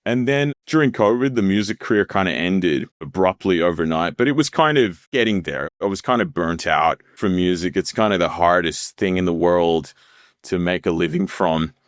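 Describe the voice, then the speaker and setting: Guy in his thirties, very deep and dry, loose chatty guest storytelling. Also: moderate pace, no echo. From Australia. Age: 30s. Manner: relaxed, rambling, laughs often. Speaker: podcast guest. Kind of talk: casual storytelling interview.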